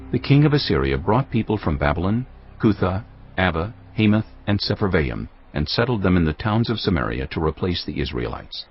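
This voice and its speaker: A man narrating dramatised scripture from a script in a grave, measured, dramatic manner. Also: sits low. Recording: dull audio, music underneath.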